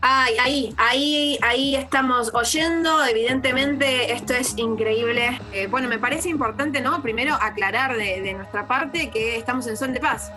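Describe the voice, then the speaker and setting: A woman's voice: on the high side, normal speed, few pauses, sharp tone. A woman playing an earnest radio anchor with an Argentine accent, hesitant and formal, hosting mock live news in a comic radio play. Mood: awestruck. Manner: hesitant, formal, repeating herself. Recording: music behind the voice.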